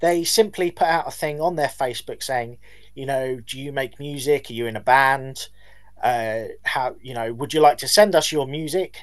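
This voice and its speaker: A man, a band's lead singer, answering interview questions in a measured, polite way with some hesitations. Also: mid-range voice. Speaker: band lead singer, guest.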